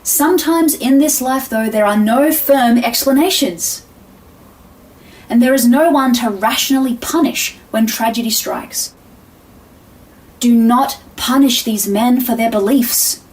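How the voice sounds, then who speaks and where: An actress playing a composed, earnest defense attorney, giving a measured, solemn opening statement to a jury in a formal audio drama. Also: female voice, fairly high pitch, a little mellow, close to an everyday voice. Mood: earnest, pleading. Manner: measured, solemn, rhetorical repetition. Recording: distant microphone.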